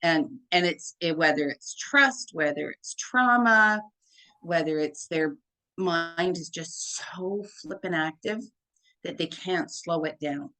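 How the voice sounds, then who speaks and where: A chatty woman with a deep, full voice, sitting somewhat low in her register. She speaks as a hypnotherapist guest in a relaxed interview and keeps dropping in "like" and "right?" as she goes. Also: takes it slowly. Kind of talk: casual conversation.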